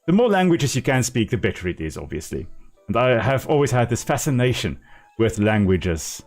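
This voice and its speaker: A man's voice: mid-range voice, very animated, normal speed, darkish tone, soft background music. A man with a South African accent, a native Afrikaans speaker, chatting casually and explaining as he plays a game on a live stream.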